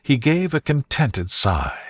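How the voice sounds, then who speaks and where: A man narrating a scripted sleep story in a calm, gentle, soft-spoken and soothing way. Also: medium pitch, takes it slowly, slightly rough, dry recording, clean studio sound.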